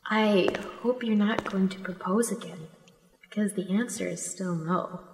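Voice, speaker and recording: A woman playing Zephyr, a gentle young guardian. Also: medium pitch, measured pace, lightly acted. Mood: wary. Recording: echoey room with long reverb, faint hiss.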